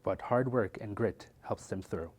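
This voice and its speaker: Low-voiced man news anchor. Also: a little slow, a little mellow. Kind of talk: scripted news intro.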